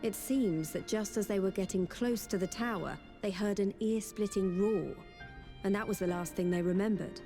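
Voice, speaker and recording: Lady voicing a serious fantasy game adventurer, soft dark tone, steady delivery, faint music behind. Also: mid-range voice, lightly acted. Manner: measured, serious. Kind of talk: fantasy video game voice acting. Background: orchestral game music with strings.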